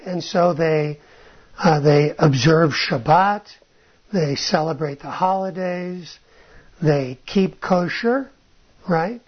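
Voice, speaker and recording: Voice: medium pitch, husky. A Bible teacher talking informally, often restarting phrases and checking in with a questioning "right?". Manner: ends points with a questioning "right?"; restarts phrases, thinks aloud. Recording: dull lo-fi sound.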